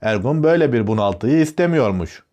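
A man tells a children's tale in a very animated, melodic delivery. His pitch sits in an ordinary male range and moves widely as he explains each step of the story. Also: a little fast. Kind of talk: narrating a children's fairy tale.

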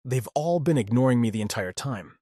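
A man playing a cynical, anxious high school boy, narrating a visual novel in a deadpan, grumbling way. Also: fairly quick, dry recording, clean studio sound, lightly acted. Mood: anxious, sardonic. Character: cynical, anxious, foul-mouthed male high school student. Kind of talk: first-person visual novel narration and dialogue.

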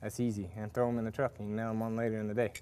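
A man's voice: fairly low pitch, little pitch movement, very fast, dark tone, a little hiss or hum. A man giving a casual, rambling farrier demonstration at a workshop with forges, with lots of fillers and restarts. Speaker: farrier instructor. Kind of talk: casual hands-on demonstration talk.

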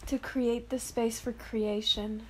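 A woman leading a guided meditation of affirmations, speaking softly and dreamily in drawn-out phrases with long pauses. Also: fairly high pitch, little pitch movement, background noise.

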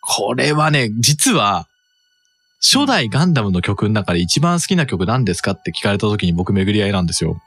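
A man's voice: lowish voice, big pitch swings, a little fast, fairly bright tone, breathy edge. A man in his thirties chatting casually and reacting as a podcast co-host. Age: 30s. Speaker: podcast co-host reviewing songs. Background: background music.

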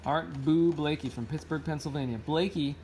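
Higher-voiced man reading aloud, noisy recording. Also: moderate pace, a little mellow. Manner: informal, stumbles over words and corrects himself. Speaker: read-aloud host. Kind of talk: reading a children's picture book aloud with casual asides.

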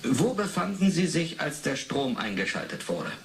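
A man's voice: on the high side, sing-song, normal speed, vocal fry, a bit bright, exaggerated voice. An actor playing an impatient male interrogator in a radio drama, clipped and official. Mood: insistent. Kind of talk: 1980s German radio drama acting. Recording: roomy, hissy interrogation tape.

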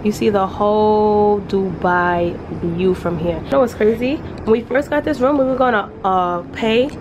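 A chatty woman showing off a hotel suite, talking straight to her viewers in a mid-pitched voice. Steady background noise and room ambience run under her, as from a handheld camera. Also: moderate pace, lo-fi sound. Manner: chatty, addresses viewers as y'all. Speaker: travel vlogger co-host. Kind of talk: casual room-tour chat to viewers.

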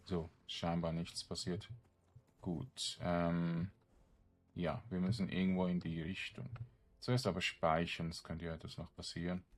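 A man's voice: sits low, little pitch movement, unhurried. A man giving casual gameplay commentary, thinking aloud in short, loose fragments. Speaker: gamer streaming a let's play.

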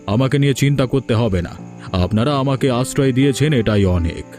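A man's voice: medium pitch, a little fast, darkish tone, soft background music, close to an everyday voice. A man voicing troubled, earnest village men in a Bengali cartoon. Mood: apologetic. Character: village men, apologetic guest and aggrieved householder. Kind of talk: dubbed Bengali cartoon dialogue.

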